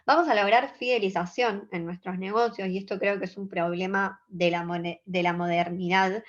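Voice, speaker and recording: A woman in her twenties with an Argentine accent, giving an earnest, measured conference talk and checking in with her audience. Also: medium pitch, steady tone, lo-fi sound. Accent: Argentine Spanish. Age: 20s. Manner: earnest, measured, engages the audience with short questions. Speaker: conference speaker.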